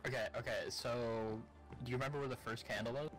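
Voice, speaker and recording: A man's voice: mid-range voice, steady tone, brisk, music in the background, poor audio. A man chatting casually during a co-op game, giving his partner step-by-step directions. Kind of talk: casual gameplay chat.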